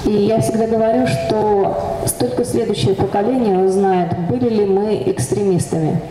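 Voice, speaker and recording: A woman interprets a lecture line by line, brisk and businesslike. Her voice rings through a large hall with a long echo and clear background noise. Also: mid-range voice, steady tone. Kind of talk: consecutive interpretation. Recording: echoey conference hall, noisy.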